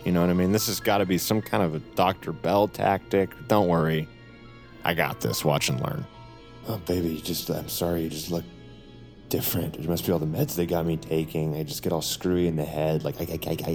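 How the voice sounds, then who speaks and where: An actor playing a cocky captive in a psychiatric facility, flippant and rambling, as in an immersive audio drama. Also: male voice, deep voice, a little mellow. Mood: wary, sly. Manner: flippant, rambling, muttering, self-interrupting. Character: cocky, wisecracking male psychiatric captive addressing the listener. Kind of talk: immersive audio drama acting, conversational asides. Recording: music behind the voice.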